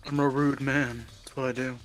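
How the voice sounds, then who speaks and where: A man's voice: medium pitch, little pitch movement, moderate pace, faint music behind. A man chatting casually over gameplay with friends, teasing, riffing and swearing freely. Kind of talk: casual joking banter during gameplay.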